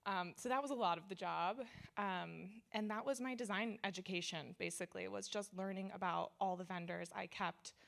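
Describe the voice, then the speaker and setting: A woman's voice: mid-range voice, moderate pace, creaky, a bit bright. A woman interior designer telling her career story casually on a panel, wandering into asides.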